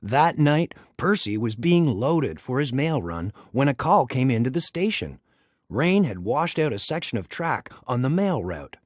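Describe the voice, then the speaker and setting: A man reading a children's story aloud in an expressive, dramatic storytelling manner. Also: medium pitch, unhurried, no room sound, studio quality. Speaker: storybook reader.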